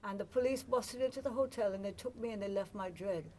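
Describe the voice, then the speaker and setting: A woman in her sixties, raised in the United States and long settled in Jamaica, whose Jamaican-tinged accent colours her chatty, relaxed stories as an interview guest. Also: medium pitch, fast, slight background noise, rough recording. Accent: Jamaican-tinged American. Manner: chatty, relaxed, laughs easily. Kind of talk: casual interview storytelling.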